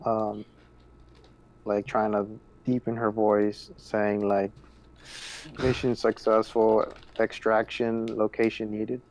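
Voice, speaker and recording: A man's voice: lowish voice, completely flat delivery, slow pace, darkish tone. A man playing a tabletop game, describing his character's actions casually and matter-of-factly. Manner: matter-of-fact, describes character in third person.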